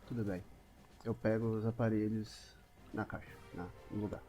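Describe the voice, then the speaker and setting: A man's voice: on the low side, monotone, moderate pace, warm tone, soft background music. A man with a Brazilian accent in casual table talk as a player in a role-playing game.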